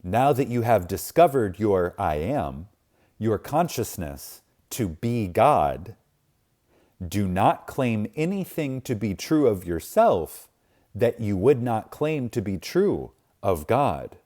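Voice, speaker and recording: A man reading a spiritual book aloud in a solemn, reverent manner, with deliberate pauses and emphasis. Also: on the low side, big pitch swings, mellow. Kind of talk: reverent scripted reading, audiobook-like.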